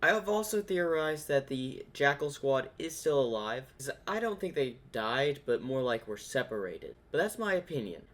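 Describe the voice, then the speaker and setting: A man's voice: mid-range voice, very animated, normal speed, hardly any pauses, a bit bright. A man giving casual reaction commentary as a video host, thinking aloud as he speculates.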